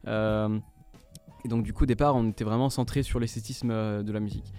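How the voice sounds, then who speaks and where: A man's voice: fairly low pitch, fairly even intonation, fairly quick, mellow, faint music behind. A young man from French Guiana, a musician guest on a radio interview, talking hesitantly with many fillers and restarts.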